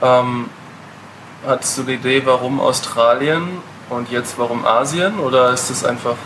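A man's voice: medium pitch, fairly even intonation, moderate pace, a bit bright. A man hosts a casual travel podcast, asking the guest questions and answering with short words of agreement. The recording has plain background noise and an air-conditioning hum. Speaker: podcast host, backpacker. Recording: noisy recording.

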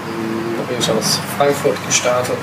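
A man's voice: mid-range voice, fairly even intonation, normal speed. Italian-born man in his thirties, hesitant. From Italy. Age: 30s. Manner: hesitant, many filler sounds, mixes English words. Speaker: interview guest, backpacker. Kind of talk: casual podcast interview. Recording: loud background noise.

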